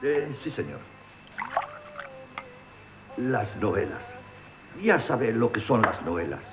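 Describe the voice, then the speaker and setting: Timid, animated lovestruck manservant. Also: male voice, medium pitch, slow pace, noisy background. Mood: deferential. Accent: Castilian Spanish. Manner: hesitant, deferential, starts with "eh". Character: timid, lovestruck manservant, deferential and long-suffering. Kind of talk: 1970s Spanish radio theatre acting.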